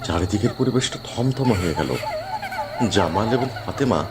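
A man's voice: lowish voice, expressive, moderate pace, noisy background. A man narrating a cartoon folk tale with dramatic storytelling.

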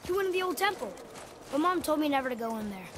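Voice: sits high, big pitch swings, fast, fairly bright tone, a little hiss or hum. An actor playing an eager, earnest young boy in a video game, with a light, youthful voice. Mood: wondering. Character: eager young boy, dutiful son seeking approval. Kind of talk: cinematic video game acting.

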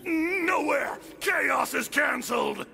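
Manic hulking game psycho, piercingly high and harsh, declaiming mad nonsense at a yell. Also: male voice, slow pace, soft background music, full character voice. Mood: frantic bellowing. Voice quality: shrill, gravelly, strained scream. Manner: declaims in short cryptic outbursts. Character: huge raving male psycho, manic and shouting nonsense poetry.

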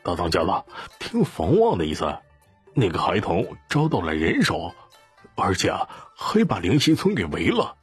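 A man voicing a one-eyed bandit underling in an audiobook, confiding in a low, confidential manner. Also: huge pitch swings, normal speed, breathy edge, faint music behind, full character voice. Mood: hushed, wary. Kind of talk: audiobook character dialogue.

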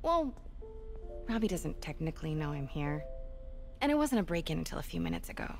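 An actress playing a confident young newspaper reporter in a video game, casual and wry. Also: female voice, low voice, very animated, fairly quick, gravelly, darkish tone, soft background music, exaggerated voice. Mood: sheepish explaining. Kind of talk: video game cutscene acting.

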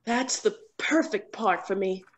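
A woman's voice: medium pitch, measured pace, a little hiss or hum, close to an everyday voice. A woman playing a vain, self-aware actress who narrates her own scene in an arch, wry, theatrical parody style. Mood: arch, dismissive. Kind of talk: parody stage acting.

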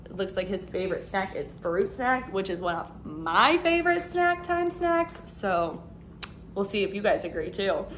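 A woman in her thirties, a teacher, reading a picture book aloud to young children in an expressive, animated way. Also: mid-range voice, moderate pace, slight background noise. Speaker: second grade teacher. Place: living room at home, indoors.

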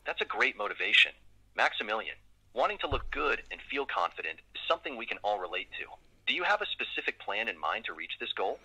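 A man's voice: fairly low pitch, big pitch swings, quick pace, bright, forceful tone, breathy edge, low-quality recording. A synthetic male assistant voice making an upbeat scripted customer call, heard through a phone speaker. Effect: played through a phone speaker.

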